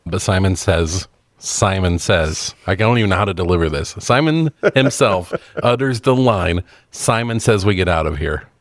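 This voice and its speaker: A man's voice: sits low, animated, normal speed, no echo, studio quality. A man co-hosting a review show, chatting casually and chiming in with short remarks. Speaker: podcast co-host reviewing films. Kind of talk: casual chat, brief reactions.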